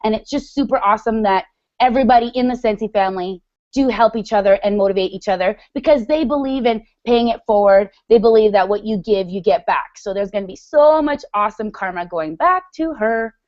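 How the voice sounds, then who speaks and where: A woman's voice: medium pitch, fairly quick, a little mellow, no room sound, lo-fi sound. A woman around thirty hosting an online hangout, introducing a guest in an effusive, upbeat way. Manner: effusive and upbeat, heavy use of "super". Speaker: host. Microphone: video call.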